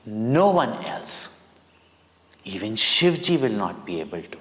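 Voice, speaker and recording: Casting: Indian-accented man, spiritual teacher narrating for kids, unhurried dramatic phrasing, heavy hall reverb. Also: lowish voice, very animated, a bit bright. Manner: deliberate, dramatic phrasing in short pauses, simple words. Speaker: spiritual teacher telling stories to children. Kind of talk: devotional children's storytelling.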